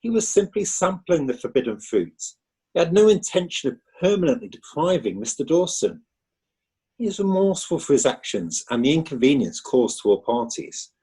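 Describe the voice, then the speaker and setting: An actor playing a defence lawyer pleading earnestly before the magistrates. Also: male voice, fairly high pitch, big pitch swings, normal speed, warm tone, breathy edge. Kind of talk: amateur audio drama acting.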